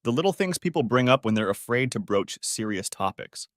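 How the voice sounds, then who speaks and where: A man's voice: medium pitch, animated, fairly quick, no echo, clean studio sound. A man narrating a scripted first-person story.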